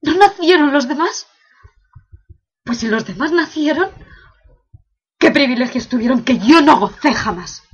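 A woman's voice: high voice, animated, measured pace, long pauses, lo-fi sound. A woman playing an anguished imprisoned prince in a declamatory, impassioned classical verse monologue, bitterly questioning heaven. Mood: indignant questioning.

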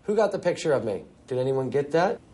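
A man's voice: mid-range voice, sing-song, moderate pace, background noise. A man hosting a video test, upbeat and instructing a group. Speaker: video host. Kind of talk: hosted video segment.

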